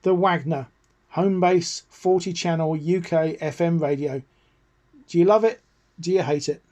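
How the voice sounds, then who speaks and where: A middle-aged British man talks through a hobby demonstration in a slightly high voice. He is chatty and keen, informal in delivery. The sound is close and dry, with very little room. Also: a little slow, a little mellow, no echo, lo-fi sound. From United Kingdom. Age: middle-aged or older, over 40. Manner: enthusiastic, chatty. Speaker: radio hobbyist presenter. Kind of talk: casual product review and demonstration.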